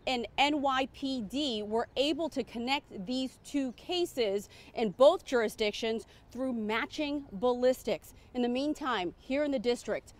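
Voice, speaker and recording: An American woman, a TV news reporter, giving a report outdoors on a city street. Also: fairly high pitch. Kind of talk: news report, live and scripted. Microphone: clip-on lapel mic.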